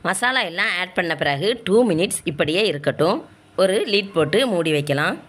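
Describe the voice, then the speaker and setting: Female home cook, southern Tamil Nadu accent, slightly low pitch, plain colloquial instruction for a cooking tutorial. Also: moderate pace, fairly bright tone. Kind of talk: step-by-step recipe narration.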